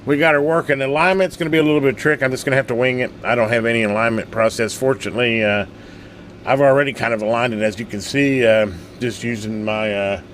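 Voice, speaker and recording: A man with a fairly low voice talks in a colloquial, folksy manner. He rambles casually as he demonstrates hobby repair work, with a faint layer of noise behind him. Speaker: radio repair hobbyist and channel host. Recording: steady background hum and noise.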